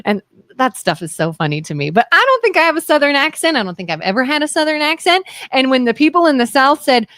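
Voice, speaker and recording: A woman from Ohio co-hosts a casual talk radio show and tells stories with animated, sing-song delivery, slipping into the voices of the people in them. Her voice sits a little high and swings widely in pitch. It is recorded close and dry in a studio. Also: fast talker, dry recording. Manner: animated storyteller who acts out the voices in her anecdotes. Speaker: co-host.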